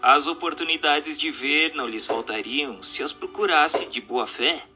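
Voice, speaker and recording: Um ator brasileiro interpretando uma voz solene e instrutiva numa radionovela espírita, em tom formal e declamatório. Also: male voice, a little high, measured pace, bright, forceful tone, faint music behind. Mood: solemn, instructive. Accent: Brazilian Portuguese. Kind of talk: scripted radio drama reading of a spiritist question-and-answer dialogue.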